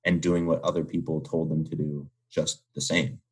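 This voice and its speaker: A man's voice: a bass voice, little pitch movement, moderate pace, darkish tone, breathy edge. A man in his twenties talking casually and candidly as an interview guest, with informal, slangy phrasing full of "like". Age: 20s. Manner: informal slangy speech peppered with "like". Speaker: podcast guest, young entrepreneur.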